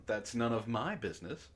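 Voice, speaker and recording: A man hosting a comedy book club, reading aloud and bantering casually in an animated, mock-dramatic way, prone to laughing. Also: medium pitch, unhurried.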